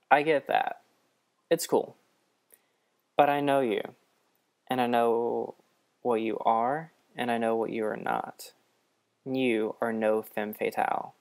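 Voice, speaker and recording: A smooth-talking male trickster god in a radio-play tale, played by a man. He is wry, coaxing and conciliatory, with a mid-pitched voice raised above the narration.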